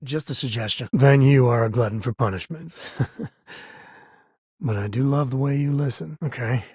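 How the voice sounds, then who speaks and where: Game space cowboy, easygoing, wry, folksy, husky, very dry recording. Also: male voice, medium pitch, moderate pace, darkish tone, no room sound. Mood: wry, casual. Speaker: video game voice actor. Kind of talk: acted video game companion dialogue.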